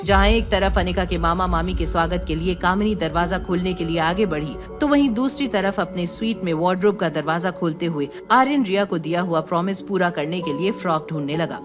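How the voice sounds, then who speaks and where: A woman narrates a scripted audio drama. Her voice is on the low side, with music playing clearly behind her. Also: brisk, hardly any pauses.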